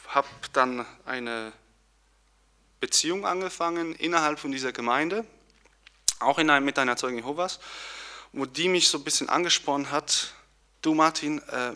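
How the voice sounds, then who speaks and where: A young man from Poland, giving an earnest personal testimony with pauses and restarts. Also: medium pitch, bright, forceful tone. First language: Polish. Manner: earnest, searching, with fillers and restarts.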